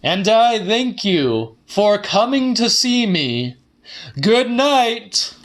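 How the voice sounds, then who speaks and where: A man's voice: sits high, very animated, very slow, a bit bright, voice pushed up, heavily acted. A man voicing a mad scientist-prophet preaching grandly from a stage, theatrical and declamatory, in a dramatic audiobook. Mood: grandly solemn. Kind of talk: dramatic audiobook character reading.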